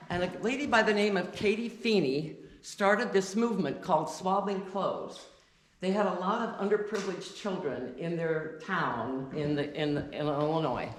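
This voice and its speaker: A woman giving an informal talk to a church congregation, deliberately loud and chatty, with many restarts. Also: lowish voice. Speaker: guest speaker. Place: church sanctuary. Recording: echoey church with faint noise.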